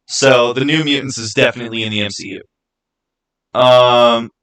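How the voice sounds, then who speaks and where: A man hosting a livestream, chatting casually and answering viewers in an informal, opinionated, halting way that often repeats itself. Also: medium pitch, steady tone, a bit bright, clear, resonant tone, low-quality recording. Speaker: livestream host.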